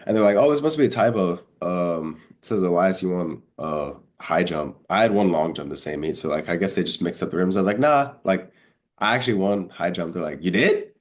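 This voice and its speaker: A man's voice: deep voice, animated, brisk. A congested young man chatting casually as an interview guest, telling stories in informal slang and acting out remembered dialogue. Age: young adult. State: congested, under the weather. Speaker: guest, college high jumper.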